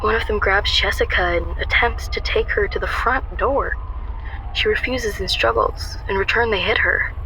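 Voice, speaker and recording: Woman playing bright-voiced young narrator. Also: mid-range voice, moderate pace, a little hiss or hum, rough recording. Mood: wry, uneasy narration. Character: anxious, guarded young female shop worker narrating. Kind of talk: first-person radio drama narration.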